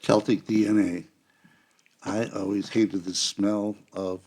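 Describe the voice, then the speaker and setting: A man in casual chat, explaining things in halting, self-interrupting phrases. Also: lowish voice, flat delivery, darkish tone.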